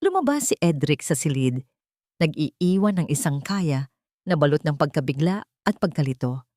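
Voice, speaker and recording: A woman reading a romantic drama story in a steady, dramatic storytelling manner. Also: low voice, normal speed, no echo, clean studio sound. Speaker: narrator. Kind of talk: scripted story narration.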